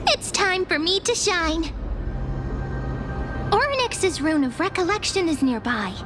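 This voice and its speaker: A tiny childlike fairy companion for a video game. The voice is extremely high and theatrical, drawn out at an unhurried pace. It sounds eager and easily worked up, over clearly audible music. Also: female voice, lively intonation, exaggerated voice. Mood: eager, excited. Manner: exclamatory, theatrical. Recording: background music.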